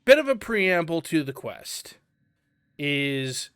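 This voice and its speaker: A man's voice: on the high side, sing-song, slow pace, fairly bright tone, clean tone, no echo, clean studio sound. A man hosting a casual let's-play, explaining in a chatty way with fillers and asides. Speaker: game channel host.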